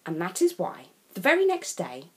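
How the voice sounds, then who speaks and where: A woman reading a children's storybook expressively, leaning on key words with deliberate emphasis. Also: on the low side, huge pitch swings, a little mellow, faint music behind. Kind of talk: scripted storybook narration for children.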